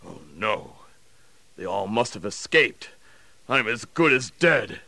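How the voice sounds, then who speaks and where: Roman jailer, audio drama, forceful bright voice, excitable, slightly distant from the mic. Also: male voice, mid-range voice, slow pace, full character voice. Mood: despairing. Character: anguished, excitable Roman jailer, adult man.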